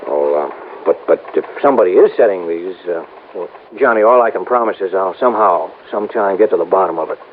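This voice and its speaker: Folksy, low-voiced insurance agent, vintage radio. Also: male voice, lively intonation, fast talker. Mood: dismayed. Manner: hesitant, stammering, homespun. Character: folksy, earnest male small-town insurance agent, eager to reassure. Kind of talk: 1950s radio drama acting. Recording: noisy, narrow-band old radio recording.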